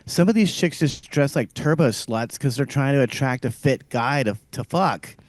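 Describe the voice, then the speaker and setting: A man's voice: mid-range voice, lively intonation, normal speed, no room sound. A man co-hosting a podcast, talking in crude, rambling casual chat and laughing often. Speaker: podcast co-host.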